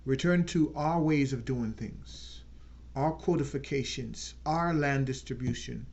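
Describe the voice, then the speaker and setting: A man's voice: medium pitch, creaky, a little mellow. Earnest man, dull lo-fi sound. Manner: earnest, slows down to stress key words. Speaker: channel host. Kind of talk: unscripted political talk.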